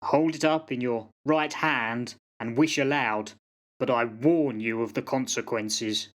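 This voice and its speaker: A man's voice: mid-range voice, steady tone, measured pace, close to an everyday voice. Man acting a solemn old soldier with forebodings. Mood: urgent warning. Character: grave old soldier warning of a cursed charm. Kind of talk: radio play acting.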